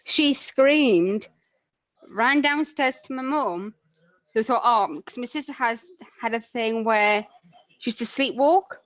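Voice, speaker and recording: A woman's voice: a little high, slow pace, a bit bright, no echo, lo-fi sound. A woman telling personal stories casually as a podcast guest.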